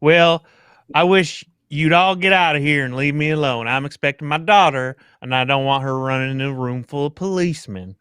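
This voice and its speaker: A curt, blustery, suspicious man of means, acted by a man, with a clear, resonant voice pitched slightly high. He is impatient and dismissive, as in an old radio murder mystery. The recording is dry and close. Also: normal speed, a bit bright, dry recording, lightly acted. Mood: gruff, dismissive. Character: gruff, suspicious, impatient wealthy father under threat. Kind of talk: old-time radio drama acting.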